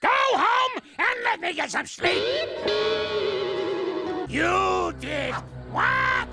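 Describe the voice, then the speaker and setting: An actor voicing a grumpy old male ape in a cartoon, with a creaky, gravelly old voice and a scolding, sarcastic way of talking. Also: very high-pitched, sing-song, drawn-out words, heavily acted. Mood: grouchy. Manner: scolding, sarcastic, barks insults. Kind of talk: cartoon voice acting. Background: music.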